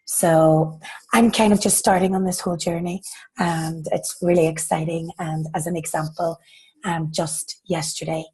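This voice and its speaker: A woman's voice: fairly low pitch, fairly even intonation, normal speed, a little mellow, poor audio. An Irish woman losing her voice to laryngitis, introducing herself politely on a group video call. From Ireland. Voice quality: hoarse and raspy. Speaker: first-time group call participant.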